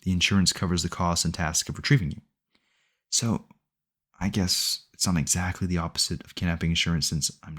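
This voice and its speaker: A man's voice: low voice, darkish tone. A man playing a sweetly possessive, obsessive boyfriend in an ASMR audio roleplay, rambling earnestly and intimately to the listener. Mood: patiently explaining. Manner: doting, rambling, earnest self-justifying, close and conversational.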